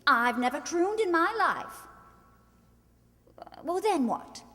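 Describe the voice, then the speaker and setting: A woman playing a flighty, high-strung society bride in a screwball radio comedy, theatrical and full of breathless exclamation. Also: animated, slow, sharp tone, exaggerated voice. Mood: flustered, exasperated. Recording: echoey hall stage recording.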